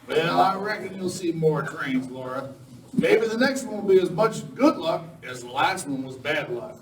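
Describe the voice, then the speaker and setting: Man playing a high-voiced folksy Western sheriff. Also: sing-song, moderate pace. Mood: guarded, patient. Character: plain-spoken small-town Western sheriff, guarded, then rallying his posse. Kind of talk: live amateur Western radio play. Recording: distant, roomy live recording with faint noise.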